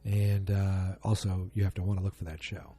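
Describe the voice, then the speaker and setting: A man's voice: low-pitched, monotone, moderate pace, mellow, faint music behind. A man hosting a solo podcast, chatting casually in a rambling, self-deprecating, joking way. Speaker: podcast host.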